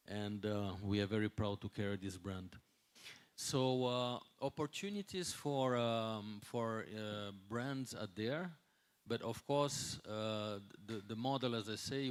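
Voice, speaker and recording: Hotel executive, male, 60s, non-native English, slightly deep voice, speaks off the cuff at a conference, searching for words. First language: not English. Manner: halting, searching for words, with repeated words. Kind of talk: unscripted conference talk.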